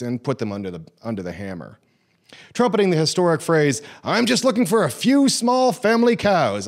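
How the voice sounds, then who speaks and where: A man reading a humorous literary piece aloud in a theatrical manner, with emphatic swells that fall away at the ends of phrases. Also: mid-range voice. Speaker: reader at a live literary program. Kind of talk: dramatic reading of a humorous memoir.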